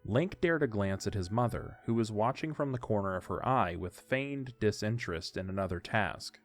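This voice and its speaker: Low-voiced man narrating an audiodrama over music. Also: expressive, moderate pace. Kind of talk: scripted story narration.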